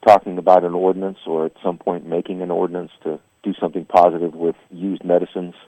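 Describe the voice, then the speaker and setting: A man's voice: sits low, level tone, quick pace, no room sound. A man speaking as an agency director in an interview. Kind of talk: interview talk. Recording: dull lo-fi interview clip.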